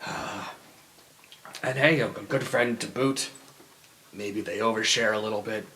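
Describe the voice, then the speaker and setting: A man voicing a friendly young man who introduces a friend with halting, upbeat chatter. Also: lowish voice, a little hiss or hum. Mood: warm, joking. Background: soft background music.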